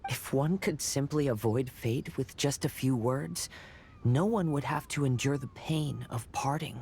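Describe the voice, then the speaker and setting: A man playing a calm, philosophical young wanderer in an anime-style game, speaking softly and in measured phrases. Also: lightly acted. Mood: gentle, wistful. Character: gentle, poetic, philosophical young male wanderer. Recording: faint background rumble.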